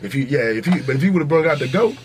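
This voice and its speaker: A man's voice: a little high, lively intonation, racing, slight background noise. A man co-hosting a podcast, bantering casually and bluntly in slang about music and sports, repeating himself for emphasis. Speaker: podcast host.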